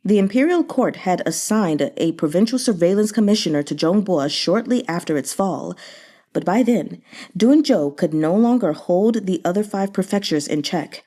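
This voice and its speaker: A woman reading a novel aloud as narrator, in a measured storytelling manner. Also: medium pitch, dark tone. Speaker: audiobook reader.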